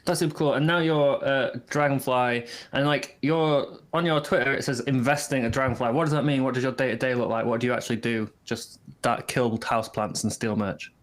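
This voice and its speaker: A man with an ordinary, mid-pitched voice, co-hosting a relaxed podcast chat. His delivery is teasing and playful, and he often pokes fun at his guest. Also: fairly quick, hardly any pauses. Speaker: podcast co-host. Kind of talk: casual chat.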